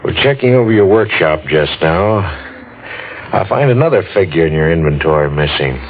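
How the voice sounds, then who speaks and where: Calm dry police sergeant, deep voice, tinny old radio show. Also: male voice. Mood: measured, matter-of-fact. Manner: measured, hesitant, dry. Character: calm, matter-of-fact male police sergeant. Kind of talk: 1940s radio drama acting. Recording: tinny old radio recording, faint noise.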